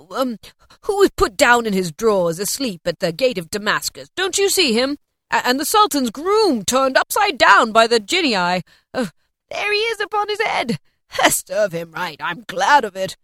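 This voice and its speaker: Woman acting out an excitable old man miser. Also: mid-range voice, normal speed, no room sound, studio quality, lightly acted. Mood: ecstatic. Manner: exclaiming, stammering, between laughing and crying.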